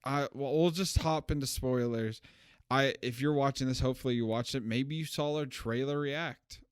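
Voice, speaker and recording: Young male podcast co-host, casual film chatter, smooth lowish voice, dry close mic, loose rambling delivery. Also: moderate pace, dry recording. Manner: rambling, self-correcting, laughs at own jokes. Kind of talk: casual film review chat.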